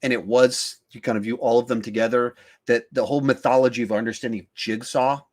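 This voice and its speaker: A man's voice: medium pitch, fairly even intonation, fast, darkish tone. Male podcast host, mid-range voice. Casual, discursive talker who stumbles over words while thinking aloud. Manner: rambling, thinking aloud with stammers and repeated words.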